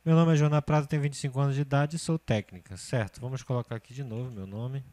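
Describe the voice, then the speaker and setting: A man in his thirties walks through a spreadsheet lesson at a steady, methodical pace, stopping often to check that the viewer is following. His voice sits at an ordinary middle pitch, and keyboard typing can be heard under it. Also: fast. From Brazil. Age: 30s. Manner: steady step-by-step explaining, often checking with "certo?". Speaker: computer course teacher. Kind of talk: screencast spreadsheet tutorial.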